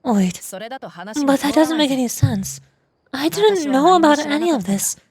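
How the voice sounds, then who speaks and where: A woman's voice: high-pitched, very animated, a little slow, a crowd in the background. A woman voicing a tough, blunt young female mercenary in a fantasy game, in an amateur read. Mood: shocked, disbelieving. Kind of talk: amateur voice acting of game dialogue.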